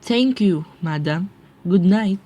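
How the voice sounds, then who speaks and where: A woman's voice: medium pitch, lively intonation, takes it slowly, mellow, a little hiss or hum. A woman acting as a telegraph office clerk speaks in a mid-range voice. She is formal and courteous, with the matter-of-fact delivery of someone reciting messages in a radio drama.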